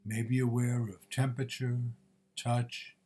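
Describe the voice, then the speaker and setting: Male meditation teacher, medium pitch, measured and gently instructive, short phrases with pauses, guided body scan delivery. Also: flat delivery, slightly rough.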